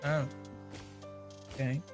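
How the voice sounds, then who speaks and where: A man doing casual live gameplay commentary, muttering to himself and swearing freely. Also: slow pace. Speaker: game streamer. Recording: game music behind the voice.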